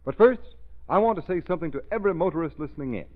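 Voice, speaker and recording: A man's voice: fairly high pitch, animated, rapid-fire. Emphatic 1930s radio announcer, man. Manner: emphatic, persuasive salesman's delivery. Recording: dull old radio broadcast.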